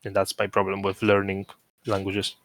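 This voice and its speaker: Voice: low voice, completely flat delivery, normal speed, no echo. Opinionated speaker in casual podcast chat. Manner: opinionated, laughs easily. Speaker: podcast co-host.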